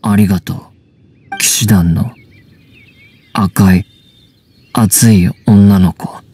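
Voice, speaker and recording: Wolf-raised wild boy, anime game: terse and halting, deep, unhurried delivery, guarded. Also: steady tone, mellow, slight background noise. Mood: calm, plain. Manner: halting, terse, simple broken phrases. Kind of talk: Japanese anime video game voice acting.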